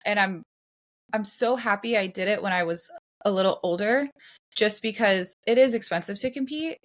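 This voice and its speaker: A woman's voice: medium pitch, normal speed. A woman in her thirties co-hosting a podcast, talking casually with lots of fillers and restarts. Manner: chatty, many fillers and restarts. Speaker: podcast co-host.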